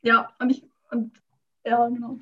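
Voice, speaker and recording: A woman in a casual chat, teasing her friend and quick to laugh. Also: fairly high pitch, completely flat delivery, bright, forceful tone, breathy edge, muffled recording.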